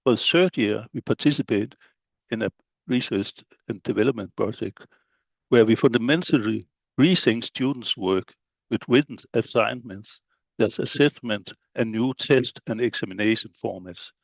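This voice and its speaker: Danish-accented man with a gravelly voice. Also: fairly low pitch, no room sound, lo-fi sound. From Denmark. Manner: measured, list-like delivery with fillers. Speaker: head of studies at an upper secondary school. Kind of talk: conference presentation.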